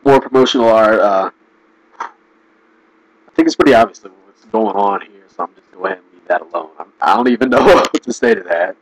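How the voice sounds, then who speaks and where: Man, hoarse, casual rambling commentary, lively pitch, dry sound. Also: a little fast, no room sound, poor audio. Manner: rambling, full of "like" and restarts; jokey, laughs often. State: losing his voice. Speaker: video commentator.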